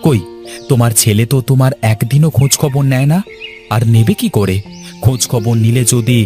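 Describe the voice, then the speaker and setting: A man's voice: mid-range voice, a little fast, dark tone, faint music behind, natural voice. A man voicing a poor, quarrelsome younger son in a cartoon, speaking in a complaining, bickering way. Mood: grumbling. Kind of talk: cartoon voice acting.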